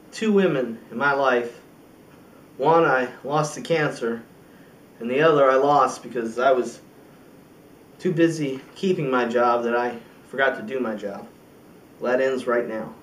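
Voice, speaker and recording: A man acting an indignant, resolute president giving an emphatic political speech in an amateur film monologue, with deliberate pauses. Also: fairly high pitch, natural voice. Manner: emphatic oratory with deliberate pauses and rhetorical questions. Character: indignant, resolute male American president addressing the press. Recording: roomy echo, distant microphone.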